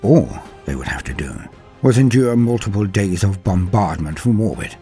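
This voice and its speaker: A man giving dramatic scripted narration of a war story in a measured, grave manner. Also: sits low, expressive, warm tone, faint music behind.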